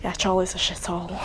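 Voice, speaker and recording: A nineteen-year-old woman, chatting and laughing through a relaxed home interview. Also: medium pitch, slight background noise. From Leyland, Lancashire, England. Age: young adult. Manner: chatty, giggly, jokey. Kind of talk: casual interview chat. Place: living room at home, indoors.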